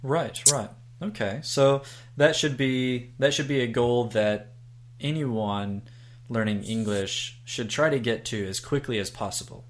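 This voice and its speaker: A man's voice: mid-range voice, a little slow. A man hosting an interview, easygoing and affirming with short replies before asking questions. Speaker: host, interviewer. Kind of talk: conversational interview.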